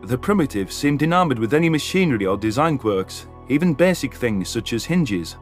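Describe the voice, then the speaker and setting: A man narrates a story in the first person from a script. His voice sits at a medium pitch. Music plays clearly behind him. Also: big pitch swings, brisk. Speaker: audiobook narrator.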